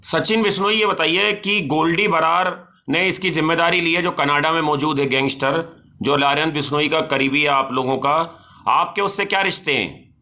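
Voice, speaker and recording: A male news interviewer questions someone on a call in a bright, pressing voice pitched slightly high. He is halting and insistent, often repeating himself as he frames a question.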